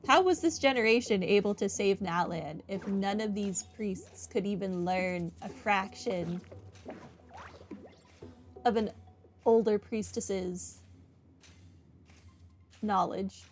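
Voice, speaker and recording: A woman's voice: medium pitch, slow, pauses often, soft background music. A woman giving casual, wry playthrough commentary as she hosts a gaming video. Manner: wry, informal.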